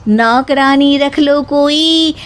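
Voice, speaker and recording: A spirited young housewife from a comic moral story, acted by a woman. She speaks emphatically in a high, slow voice, with a cheeky edge. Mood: cheeky, triumphant. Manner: emphatic, recites mocking rhymes.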